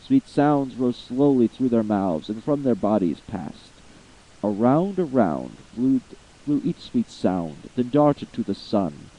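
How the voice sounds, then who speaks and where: A man giving a slow, theatrical reading of a long narrative poem, swelling with feeling at the high points. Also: very animated, mellow, lo-fi sound. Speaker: amateur voice actor reading poetry. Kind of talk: dramatic poetry reading.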